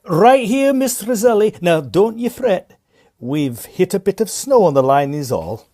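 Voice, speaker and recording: Man playing a high, lilting, cheerful train conductor. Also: sing-song, measured pace, warm tone, exaggerated voice. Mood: reassuring. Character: cheerful, reassuring Victorian train conductor in Scotland. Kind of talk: audio drama farce acting.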